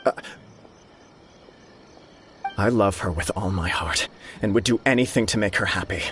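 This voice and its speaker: An actor playing a gentle, devoted young noble in a video game, with a polite, sincere and formal manner. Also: male voice, on the low side, natural voice.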